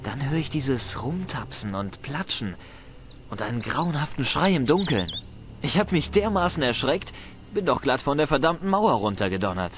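A man's voice: mid-range voice, lively intonation, moderate pace, noisy background, natural voice. A man voicing a cheeky, defiant teenage village boy in a video game, boyish, slangy and cocky, with a flair for dramatic tall tales. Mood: spooky, dramatic.

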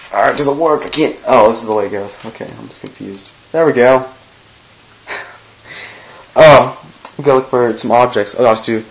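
A man's voice: mid-range voice, lo-fi sound, a little hiss or hum. A teenage boy recording a rambling, goofy birthday message on a webcam in his small bedroom. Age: teens. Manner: goofy, rambling, self-interrupting. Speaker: vlogger. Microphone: webcam close to face.

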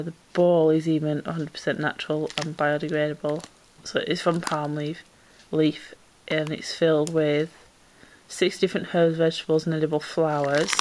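Low-voiced woman unboxing, a bit stuffy. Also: darkish tone, a little hiss or hum, poor audio. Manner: chatty, self-deprecating, rambling with ums. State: slightly congested with a cold. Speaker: pet vlogger. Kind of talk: casual unboxing chat. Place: indoors at home, camera pointed down into a box.

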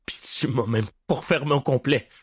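A desperate prisoner, crying and begging in a strained, high, panicked register, as a man puts him on. The voice is husky and close, in a dry space with no room sound. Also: normal speed, no echo, lightly acted. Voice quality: gravelly. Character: terrified, exhausted captive man pleading for his life.

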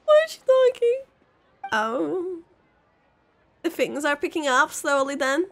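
A woman streamer giving excited, exclamatory live reactions to a game story with drawn-out responses. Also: high-pitched.

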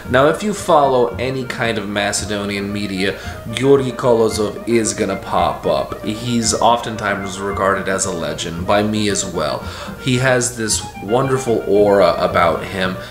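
A man in his thirties hosting a casual explainer vlog from his living room couch, enthusiastic and lively as he tells stories. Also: fairly low pitch, unhurried, reverberant, music in the background. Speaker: video host.

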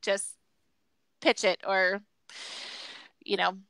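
Woman, thirties, very bright clear voice, dry close headset sound, informal guest chat. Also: medium pitch, little pitch movement, very slow, no room sound. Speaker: guest, marketing consultant. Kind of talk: casual chat. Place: room at home, indoors. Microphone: headset on a video call.

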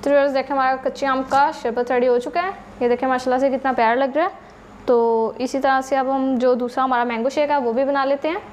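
A woman's voice: high voice, fairly even intonation, fast, a little mellow, a little hiss or hum. A woman hosting a home cooking channel with a Pakistani Urdu accent, patiently explaining each recipe step to her viewers.

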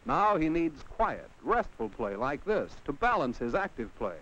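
Animated American man narrating a vintage educational film. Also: a little high, unhurried. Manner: addresses the child on screen directly with rhetorical questions. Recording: dull vintage 1950 film soundtrack.

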